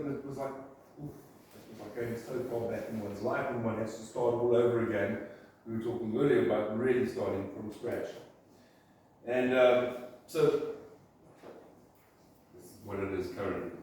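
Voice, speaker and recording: A man's voice: lowish voice, darkish tone. A man in his fifties with a South African accent, telling his business story to a group in a chatty, rambling way. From Zimbabwe. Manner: chatty storytelling, many ums and restarts. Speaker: guest speaker, print shop owner. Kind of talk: casual talk to a business group. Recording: echoey room, from a distant mic, faint noise.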